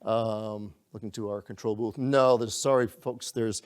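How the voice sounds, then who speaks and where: A man presenting to a small room audience in a conversational, upbeat sales-pitch manner with frequent restarts. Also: fairly even intonation, measured pace. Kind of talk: business presentation to a live audience.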